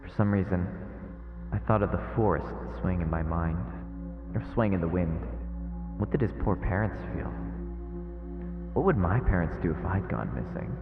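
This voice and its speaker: A man's voice: low-pitched, animated, normal speed, pauses often. A man streaming a visual novel, reading the narration aloud and adding casual asides. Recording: echoey, phone-like narrow sound, long reverb. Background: game music.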